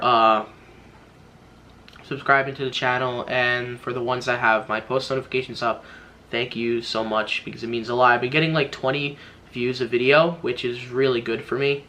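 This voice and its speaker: A man's voice: mid-range voice, steady tone, normal speed, pushed and bright, a little hiss or hum. A young man chatting informally to viewers as a YouTuber giving a tutorial, rambling and repeating himself a little. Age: young adult. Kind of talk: casual tutorial chat to viewers.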